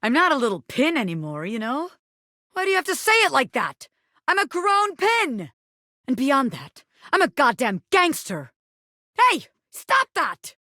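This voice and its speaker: A woman voicing a cocky, bossy small-time gangster boss, a living pin in a video game, who talks tough but has a soft spot. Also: high voice, huge pitch swings, measured pace, no room sound, studio quality, exaggerated voice. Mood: defensive, indignant. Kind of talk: video game character voice acting.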